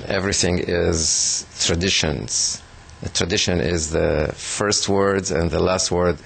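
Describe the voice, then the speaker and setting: A man from Israel being interviewed, talking slowly with many pauses and fillers. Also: low-pitched, creaky, fairly bright tone, husky. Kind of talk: reflective recounting.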